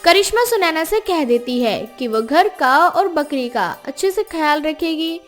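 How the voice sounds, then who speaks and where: Casting: female narrator for moral tales, high-pitched, scripted storytelling delivery over faint music. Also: animated, unhurried.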